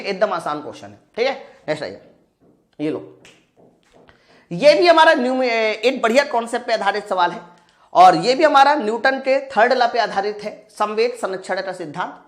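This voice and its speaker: A man with a high-pitched voice teaches physics for an exam class. He works through problems aloud, speaking straight to his students and posing questions that he then answers himself. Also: a bit bright. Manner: asks and answers own questions, addresses students directly.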